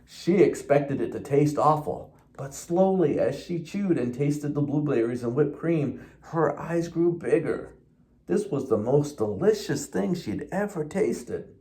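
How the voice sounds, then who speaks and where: Man reading a storybook, very lively pitch. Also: a little slow. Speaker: police officer reading a children's book. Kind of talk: storybook read-aloud.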